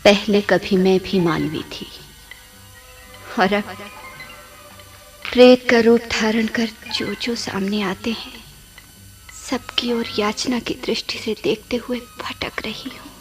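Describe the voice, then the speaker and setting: An actress in a radio play playing a sorrowful, seductive female ghost, pleading in slow, half-whispered phrases. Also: mid-range voice, lightly acted. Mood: mournful, mysterious. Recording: music under the voice.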